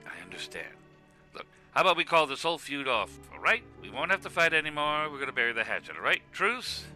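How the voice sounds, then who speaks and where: A man's voice: a little high, big pitch swings, fast talker, pushed and bright, faint music behind, heavily acted. A man acting a heartfelt radio co-commentator in a web cartoon, speaking in an earnest, emphatic, speechifying way. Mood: earnest, consoling. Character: loud heartfelt radio co-commentator consoling his rival. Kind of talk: animated web cartoon dialogue.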